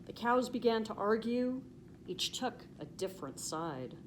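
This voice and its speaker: An American woman reads a rhyming picture book for children. Her pitch swings widely and expressively, with an emphatic, sing-song lilt. There is light background noise behind her voice. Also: animated, measured pace. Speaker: police officer reading a children's story. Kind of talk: expressive children's storybook read-aloud.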